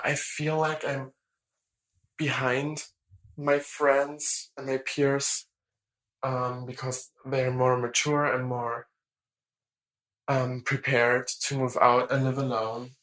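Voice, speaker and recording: A woman of nineteen with a German accent speaks as a caller seeking advice. She talks slowly and haltingly, with little movement in pitch. Her manner is earnest and personal. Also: fairly bright tone, poor audio. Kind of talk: personal voicemail-style account.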